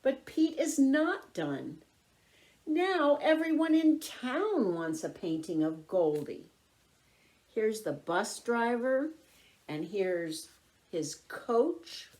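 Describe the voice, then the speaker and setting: Slow, animated woman reading to children. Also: fairly high pitch. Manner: expressive, deliberate, clearly phrased. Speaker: volunteer children's story reader. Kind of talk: read-aloud picture book narration for young children.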